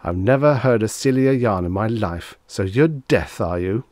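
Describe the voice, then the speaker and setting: A man's voice: lowish voice, lively intonation, moderate pace, darkish tone, no room sound, studio quality, natural voice. A man voicing a brash, sarcastic, easily rattled man in a dramatised story reading, with blustering, exclamatory delivery. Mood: scornful, triumphant.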